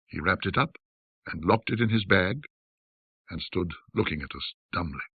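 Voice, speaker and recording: A man narrating a classic ghost story in a measured, dramatic, old-fashioned storytelling manner. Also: low voice, lively intonation, breathy edge, no room sound, narrow, tinny audio.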